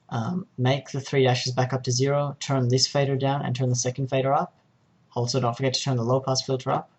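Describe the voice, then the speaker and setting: A man narrating an informal software tutorial, explaining each step in a hesitant way. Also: medium pitch, vocal fry. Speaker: tutorial presenter.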